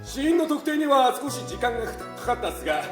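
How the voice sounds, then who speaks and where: An actress playing an eager, deferential, blunt male police detective giving testimony in a brisk, slangy stage manner. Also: high voice, sing-song. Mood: dutiful. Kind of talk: theatrical stage acting. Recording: echoey stage with clear music behind.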